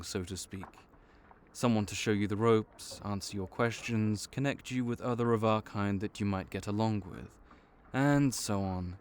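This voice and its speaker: A man's voice: lowish voice, a little mellow, close to an everyday voice. A man voicing a laid-back vampire clerk and mentor in an audio roleplay, dry and wry as he speaks to the listener.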